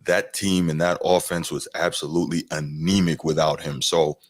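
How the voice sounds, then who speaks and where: A man co-hosting a sports podcast, giving his picks frankly and in a measured way, backing his partner with steady mm-hmms. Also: rumbling low, no room sound, clean studio sound. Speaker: podcast co-host. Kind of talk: casual sports chat.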